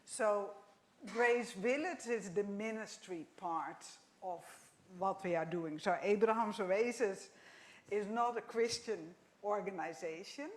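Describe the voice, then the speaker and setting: A middle-aged woman from the Netherlands with a Dutch accent, a missionary nurse being interviewed in church, matter-of-fact and quick to laugh. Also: mid-range voice. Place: church hall.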